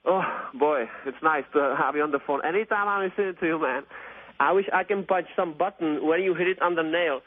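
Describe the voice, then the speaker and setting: Male radio caller, late 30s, slightly high pitch, gushing and repetitive, thin telephone sound. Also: a little fast, dry recording. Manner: effusive, rambling, repetitive, mildly profane. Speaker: phone-in caller. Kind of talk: casual, opinionated radio call-in chat. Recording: tinny phone line on radio.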